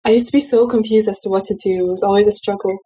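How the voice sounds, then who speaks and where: A young woman in a casual group chat, telling stories in an animated way. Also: mid-range voice, very fast, crowd noise.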